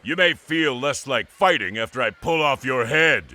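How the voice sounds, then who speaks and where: A man's voice: mid-range voice, lively intonation, normal speed, sharp tone. An actor playing a bold, brash male warrior in a video game cutscene. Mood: threatening.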